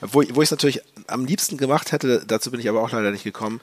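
A man co-hosting a casual football podcast, a little hoarse from a cold, rambling and hesitant with many fillers. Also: medium pitch, racing. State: slightly under the weather, a bit hoarse. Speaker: podcast co-host.

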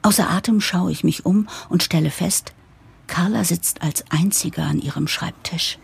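A woman's voice: fairly low pitch, measured pace, vocal fry, husky, exaggerated voice. A woman playing a harried, idealistic new managing director who narrates an audio drama in a wry, confiding way. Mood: wry, weary. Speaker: narrator and lead character.

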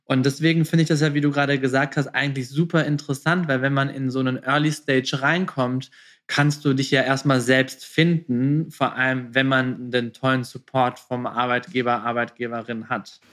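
A man's voice: medium pitch, fairly even intonation, normal speed, fairly bright tone. A man hosting a casual podcast interview, speaking informally and mixing English business jargon into his sentences.

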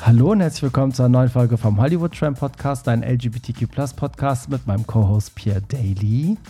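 A man's voice: medium pitch, a little fast, dark tone, husky, faint music behind. A German man hosting a podcast in casual chat, a little nasal from a cold, laughing often and filling with ums. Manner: chatty, laughs often, many fillers. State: has a cold, slightly nasal. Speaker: podcast host.

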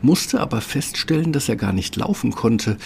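A man's voice: medium pitch, animated, moderate pace, gravelly, a little mellow, slight background noise. A man reading scripted fairy-tale narration as the storyteller of a radio play.

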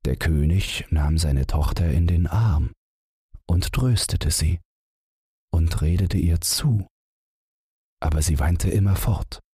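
A man narrating a fairy tale as a gentle bedtime audiobook. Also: sits low, slow pace, pauses often, husky, no echo, studio quality.

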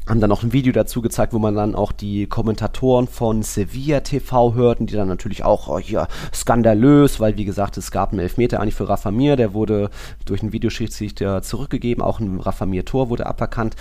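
A man's voice: on the low side, steady tone, quick pace, mellow. A man with a casual, conversational delivery, talking as a host on a football podcast.

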